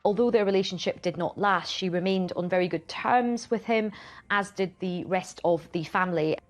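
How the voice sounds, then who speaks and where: A woman's voice: fairly low pitch, moderate pace. A woman speaking as a royal commentator in an interview. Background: faint music.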